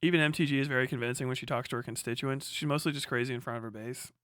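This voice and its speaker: A man's voice: mid-range voice, steady tone, racing, a bit bright, dry recording, clean studio sound. A man hosting a casual livestream, giving blunt, profane, slangy political commentary and talking back to chat. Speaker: livestream host.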